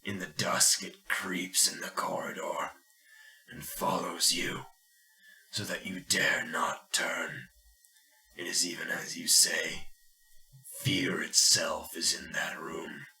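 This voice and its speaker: Casting: a man as a brooding old man in a gothic tale, hushed breathy low voice, slow menacing delivery. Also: far from the mic, full character voice, low-quality recording. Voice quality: breathy, whispering. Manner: slow, ominous. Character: brooding old man in a shade, grimly superstitious. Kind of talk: dramatic reading of gothic horror story.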